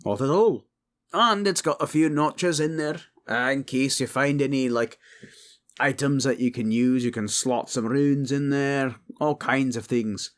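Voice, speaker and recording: Man playing a slow, gruff, hearty dwarf king. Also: medium pitch, natural voice. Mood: reassuring, hearty. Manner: blunt, proud, commanding. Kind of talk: fantasy audio roleplay acting.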